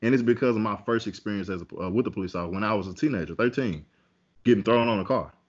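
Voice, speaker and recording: Low-voiced man telling a story casually. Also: very fast, slightly rough, muffled recording. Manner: candid, conversational, with many fillers and restarts. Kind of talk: casual storytelling in a podcast chat.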